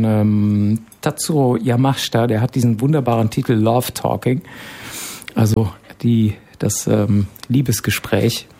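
A man hosting a relaxed radio magazine from a studio, chatty and full of fillers. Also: mid-range voice. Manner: chatty, hesitant with many fillers. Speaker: radio host.

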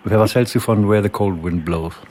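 A man hosting a radio interview, prompting his guest in a relaxed, conversational way. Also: on the low side, moderate pace, slight background noise. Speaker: radio host.

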